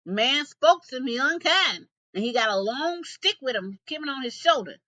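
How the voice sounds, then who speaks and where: Woman voicing a proud, boastful lion, high and forceful. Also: expressive, normal speed, no echo. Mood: aggrieved. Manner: folksy dialect, declaiming. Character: proud, boastful male lion, bossy forest roarer.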